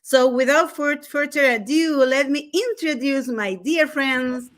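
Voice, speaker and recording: Higher voiced lady host, gushy and excited about her guest. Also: a little slow, heavy background noise. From USA. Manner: effusive, gushing. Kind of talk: warm interview introduction.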